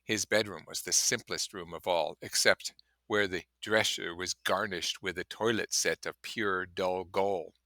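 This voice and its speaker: A man with a fairly low, very bright voice reads a classic story aloud. He is expressive and dramatic, and explains things casually, in a dry, close recording. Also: unhurried, no echo. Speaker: reader and commentator. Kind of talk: expressive audiobook-style reading with casual asides.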